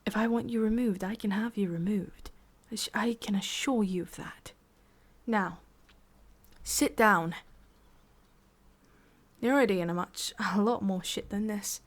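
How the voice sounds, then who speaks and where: A woman's voice: medium pitch, a little mellow, natural voice. A woman with an English accent playing a sadistic, condescending boss in an ASMR roleplay, whispery and menacing. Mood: stern, commanding. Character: sadistic, domineering female boss scolding an employee.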